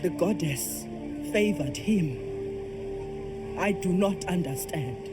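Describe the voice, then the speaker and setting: A woman's voice: lowish voice, animated, slow. A woman playing a proud, commanding and devout kraken priestess in a video game, solemn and declamatory. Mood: bewildered. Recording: music behind the voice.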